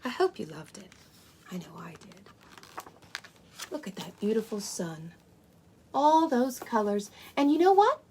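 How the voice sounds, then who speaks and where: A woman's voice: medium pitch, sing-song, measured pace, long pauses, noisy background. A woman playing a sweet, wide-eyed unicorn who hosts story time for children, with cooing wonder and exaggerated emphasis. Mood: excited, welcoming. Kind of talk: animated picture-book reading for children.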